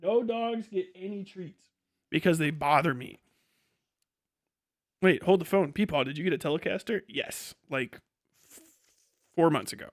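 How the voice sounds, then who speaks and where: A man with a fairly high voice, recorded close and dry. He talks like a livestreamer riffing off the cuff, swearing freely and mocking as he goes, often prone to laughing through his words. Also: a bit bright, no room sound. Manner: crude, profane, mocking storytelling; laughs often while talking. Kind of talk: casual unscripted livestream commentary.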